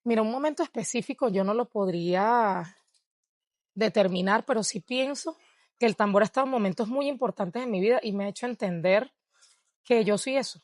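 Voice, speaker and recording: A woman's voice: a little high, fairly quick, no room sound, clean studio sound. A Venezuelan woman with a Venezuelan Spanish accent, answering interview questions in a reflective way and laughing often. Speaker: guest, dancer and researcher. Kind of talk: interview conversation.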